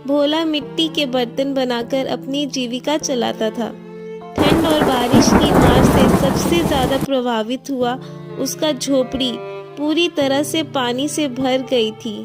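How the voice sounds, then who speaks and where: A woman's voice: high-pitched, steady tone, a little slow, over loud music. A woman reading scripted narration for a moral story as the storyteller.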